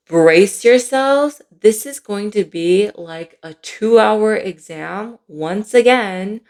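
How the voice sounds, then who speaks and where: A woman vlogger chatting casually and playfully through a personal story, sounding a little sleep-deprived, with animated asides. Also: mid-range voice. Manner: playful, animated asides with giggles and drawn-out words.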